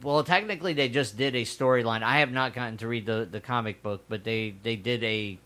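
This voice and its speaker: A man co-hosting a casual podcast, explaining his points patiently and at length. He stretches out his words and leans on them for emphasis. His voice sits at a middle pitch. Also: brisk, bright, forceful tone. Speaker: podcast co-host. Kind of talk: casual chat.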